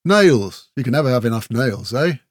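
A man's voice: mid-range voice, animated, normal speed, no room sound, clean studio sound, lightly acted. A man as a breezy, matey folk warrior. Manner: folksy, matey, talkative, with tag questions. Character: breezy, chatty male kinfolk warrior and teasing storyteller. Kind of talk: fantasy audiobook character dialogue.

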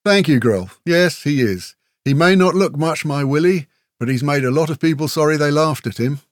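A man's voice: on the high side, lively intonation, normal speed, no echo, studio quality, pitched above the performer's own voice, lightly acted. A man voicing a chatty, folksy warrior with a breezy, teasing humour in a fantasy audiobook. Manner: folksy, matey, talkative, with tag questions. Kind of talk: fantasy audiobook character dialogue.

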